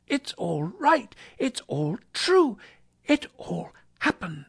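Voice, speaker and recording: A woman's voice: fairly high pitch, sing-song, moderate pace, creaky, breathy edge, dry recording, clean studio sound, pitched above the performer's own voice, full character voice. A woman voicing an elderly male miser from a storybook, giddy with joy and exclaiming in breathless, repeated bursts. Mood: giddy wonder. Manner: exuberant, exclamatory, breathlessly repetitive. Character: elderly miser man, reborn giddy and overjoyed.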